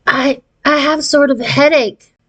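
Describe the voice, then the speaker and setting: A woman's voice: high voice, measured pace, fairly bright tone, voice pushed up. A woman voicing an anxious fifth-grade girl in a children's storybook, hesitant and worried.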